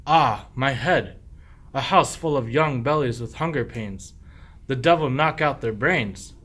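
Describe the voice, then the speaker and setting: Guy acting a scheming henpecked husband in an old rhyming radio play, slow and theatrical. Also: mid-range voice, a bit bright, lightly acted. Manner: theatrical, declaiming rhymed verse. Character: sly, henpecked sheep-stealing husband, weary and scheming. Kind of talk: verse radio play acting of a medieval comedy.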